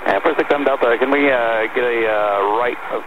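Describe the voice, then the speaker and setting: A man's voice: mid-range voice, fairly quick. Male pilot through heavy radio static. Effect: cockpit radio transmission. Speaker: private aircraft pilot. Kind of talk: radio readbacks to air traffic control. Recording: very noisy, tinny cockpit radio.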